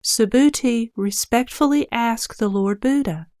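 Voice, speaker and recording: Woman, narrator, scripted audio drama, fairly high pitch, dry studio sound. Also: a little slow, a little mellow, no echo.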